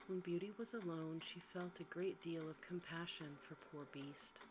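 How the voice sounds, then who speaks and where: A woman reading a sleep story in a soft, quiet ASMR style, gently and without hurry, at times slipping into a breathy whisper. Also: fairly low pitch, steady tone, moderate pace, low-quality recording. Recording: faint background noise.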